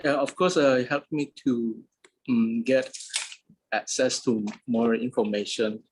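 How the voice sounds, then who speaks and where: A young man from Medan whose first language is Hokkien, answering interview questions in a halting way with many pauses. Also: mid-range voice, a little mellow, slight background noise, poor audio. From Medan, Indonesia. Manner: hesitant, many "uh" fillers. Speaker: interviewee, polyglot.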